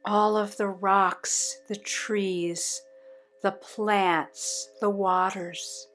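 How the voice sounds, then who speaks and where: A woman leading a guided meditation in measured, short phrases with pauses between them. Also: medium pitch, flat delivery, fairly bright tone, faint music behind.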